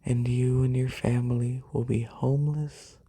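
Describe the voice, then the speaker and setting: A performer voicing a haughty, wealthy lamia noble in a breathy, soft-spoken ASMR roleplay, condescending and unhurried, close on a binaural mic. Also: mid-range voice, little pitch movement. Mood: calm menace. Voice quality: breathy, whispery.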